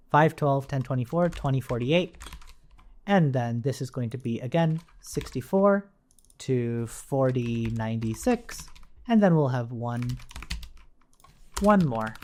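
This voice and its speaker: A man's voice: medium pitch, animated, unhurried, darkish tone. A man giving an informal live-coding tutorial, thinking aloud as an instructor. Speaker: programming instructor. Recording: noticeable noise, keyboard clicks and typing. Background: computer keyboard typing.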